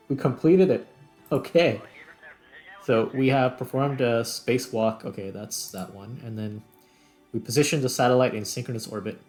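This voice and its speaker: A man giving casual, unscripted gameplay commentary, muttering step by step as he thinks aloud. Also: mid-range voice. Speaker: host playing and narrating a video game.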